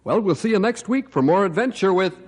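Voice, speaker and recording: A man announcing for a 1950s children's radio show, addressing boys and girls in a lively, upbeat way. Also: sits high, a little fast, slight background noise.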